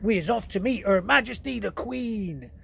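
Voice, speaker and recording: A man voicing a big, gentle male giant who mangles his grammar and invents words, in a storybook reading. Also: expressive, normal speed, creaky. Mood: eager. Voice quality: booming, mangles words playfully. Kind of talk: audiobook character voice.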